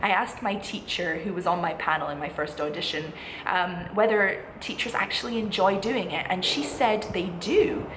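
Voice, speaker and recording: A woman's voice: fairly low pitch, normal speed. A woman in her twenties giving chatty, informal advice in a reassuring, encouraging way, like a student vlogger talking to camera. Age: 20s. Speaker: vlogger, music college postgraduate singing student. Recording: echoey room with clear background noise.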